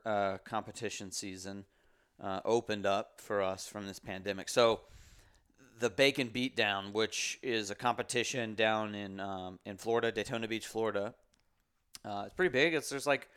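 Smooth-voiced podcast host. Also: male voice, lowish voice. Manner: relaxed, joking, loose with fillers. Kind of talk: casual podcast chat.

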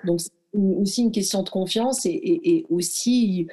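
Mellow-voiced woman in her thirties. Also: medium pitch. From Morocco. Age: 30s. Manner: reflective, halting, lots of fillers and restarts. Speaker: guest athlete and former firefighter. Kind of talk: casual conversational storytelling.

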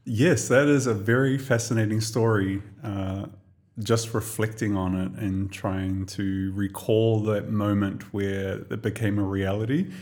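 A man's voice: fairly low pitch, steady tone, measured pace, a little mellow. A man, telling his own story in a reflective, chatty way on a podcast. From New Zealand. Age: 40s. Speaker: podcast co-host and guest. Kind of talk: casual personal storytelling.

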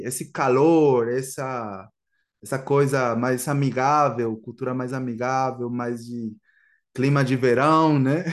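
A man from Guatemala, a native Spanish speaker with a Guatemalan Spanish accent, chatting casually as a podcast guest and laughing often. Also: medium pitch. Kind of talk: casual interview chat.

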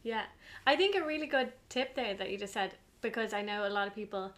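A woman's voice: medium pitch, quick pace, fairly bright tone. A woman hosting a casual podcast interview, agreeing often and reading out listener questions. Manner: encouraging, frequent short agreements. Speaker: podcast host.

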